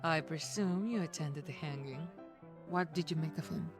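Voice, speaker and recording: A woman's voice: deep voice, normal speed, over music, lightly acted. A woman playing a cool, evasive, commanding gunslinger in a Western game, terse and probing. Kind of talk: visual novel character acting.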